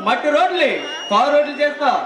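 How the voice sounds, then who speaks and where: Man acting a high-pitched, cheeky village henchman. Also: quick pace, background noise. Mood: mocking, declamatory. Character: cheeky talkative village henchman, needling his bosses. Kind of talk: Telugu stage play acting. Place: stage at a village drama show. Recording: echoey stage PA with loud music, crowd.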